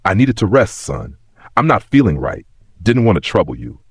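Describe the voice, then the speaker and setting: Man voicing a weary, gentle old mother, low and husky. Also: sing-song, normal speed, no room sound. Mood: weary, hurt.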